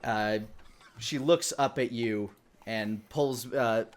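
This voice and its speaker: A man running a live tabletop game as game master, narrating scenes descriptively with halting delivery. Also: mid-range voice.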